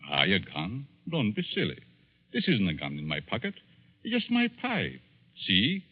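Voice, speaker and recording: An actor playing a sinister, gloating professor and murderous schemer in 1940s radio suspense style, theatrical, taunting and given to chuckling. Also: male voice, lowish voice, wildly swooping pitch, moderate pace, slightly rough, heavily acted. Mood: sly, menacing. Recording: dull lo-fi 1940s radio broadcast.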